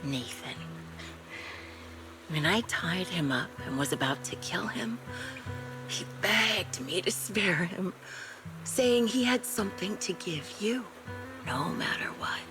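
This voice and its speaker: A vengeful ex-soldier haunted by war, in a story-driven game. Her voice sits low and broods, with measured, breathy trailing phrases. Music plays clearly underneath. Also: animated, rough recording. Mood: bitter, subdued. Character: haunted vengeful ex-soldier woman, coldly resigned, confessing killer. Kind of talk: scripted video game story acting.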